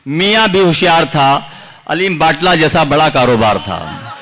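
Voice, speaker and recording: A man's voice: fairly high pitch, animated, measured pace, a bit bright. A man from India reciting his own verse at a poetry gathering, declaiming and repeating lines for effect. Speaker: poet at a recital. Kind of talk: reciting humorous and serious verse. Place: large outdoor poetry gathering.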